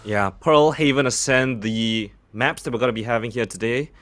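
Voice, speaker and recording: A young man from Singapore with a Singaporean English accent, giving relaxed esports desk analysis full of fillers. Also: medium pitch, normal speed. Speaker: esports caster.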